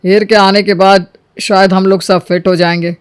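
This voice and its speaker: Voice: mid-range voice, flat delivery, normal speed, smooth, natural voice. A storyteller voicing a grumbling adult man of the household who objects and insists on his habits. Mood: mild, asking. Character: grumbling adult brother-in-law attached to his usual food.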